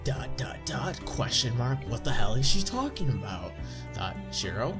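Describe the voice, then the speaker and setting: A man's voice: medium pitch, big pitch swings, moderate pace. A man with a stuffy nose reading visual novel narration aloud as a let's play narrator, adding casual commentary. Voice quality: stuffy-nosed. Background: game music.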